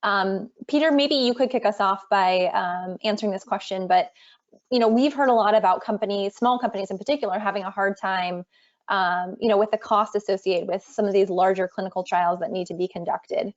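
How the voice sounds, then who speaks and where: A woman's voice: mid-range voice, a little fast. A woman moderating a virtual panel, asking questions with fillers between phrases. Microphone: video call. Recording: muffled video call audio.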